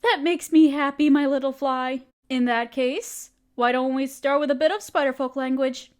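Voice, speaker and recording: Woman playing a doting, high-voiced spider queen mother. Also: steady tone, moderate pace, natural voice. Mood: earnest, caring. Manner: tender, patient, encouraging, formally worded. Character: doting motherly spider queen, regal, gently teasing, protective. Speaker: mother figure teaching a language. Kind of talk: roleplay audio, softly acted monologue.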